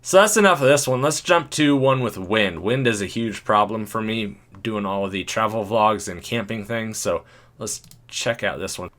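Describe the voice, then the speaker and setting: A man with a deep, low-set voice talks through a software tutorial in a loose, chatty way. He thinks aloud and chuckles often. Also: moderate pace. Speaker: video creator.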